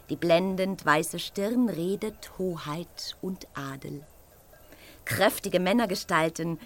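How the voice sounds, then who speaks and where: A poetic woman narrator in a radio play. She speaks very slowly at a medium pitch, giving florid romantic prose a measured, lyrical delivery. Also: slight background noise. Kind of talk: scripted literary narration.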